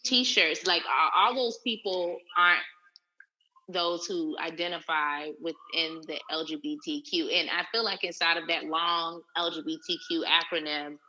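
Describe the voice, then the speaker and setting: A woman's voice: sits low, fairly even intonation, a little slow, sharp tone, lo-fi sound, a little hiss or hum. A woman in a casual discussion, explaining her point carefully and patiently.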